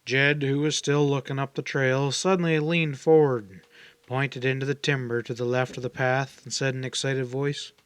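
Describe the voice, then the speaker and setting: A man's voice: mid-range voice, flat delivery, normal speed, clear, resonant tone, dry recording. A man reading scripted story narration as an audiobook narrator.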